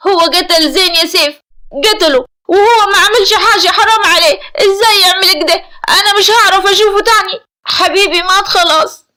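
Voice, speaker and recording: A woman's voice: extremely high pitch, brisk, bright, forceful tone, pitched above the performer's own voice. A woman voicing a distraught, grieving young woman in an audio story, wailing and pleading in an Egyptian accent. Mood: sobbing, grieving. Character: distraught sobbing young woman, grieving her murdered lover.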